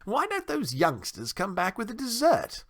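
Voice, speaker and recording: A man's voice: high voice, wildly swooping pitch, moderate pace, fairly bright tone, voice pushed up, heavily acted. A man voicing a genteel, well-mannered storybook town mouse who speaks politely and formally, a little pompous and easily miffed. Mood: breezy. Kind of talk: storybook character reading.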